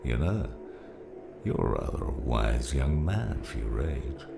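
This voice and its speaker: An actor playing a gruff, fatherly old mentor, weary and wise, in a slow audiobook drama performance with a gravelly voice. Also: extremely low pitch, big pitch swings, heavily acted. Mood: fond, wry. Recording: music behind the voice.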